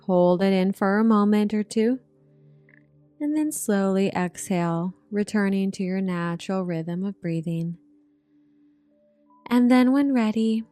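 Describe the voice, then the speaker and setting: Slow-paced woman guiding a meditation over music. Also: mid-range voice. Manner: soothing, gentle, drawn-out hypnotic phrasing. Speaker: meditation guide. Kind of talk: guided meditation narration. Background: relaxation music.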